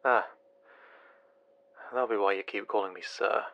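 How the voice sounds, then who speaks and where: A man's voice: lowish voice, steady tone, unhurried, a bit bright, lo-fi sound. A man playing a weary, guarded doctor working late in an audio drama, speaking tersely with sighs. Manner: terse, sighing, evasive. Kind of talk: low-key naturalistic audio drama dialogue.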